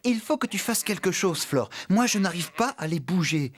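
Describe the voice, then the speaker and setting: A man's voice: high-pitched, expressive, normal speed. A man voicing an easygoing, untidy farmhand in a children's cartoon. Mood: worried, pleading. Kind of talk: French children's cartoon dubbing.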